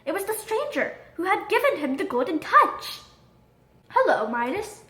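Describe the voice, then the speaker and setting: High-pitched, animated woman storyteller. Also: normal speed. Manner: expressive, dramatic read-aloud delivery. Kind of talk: scripted children's storybook narration. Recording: roomy echo.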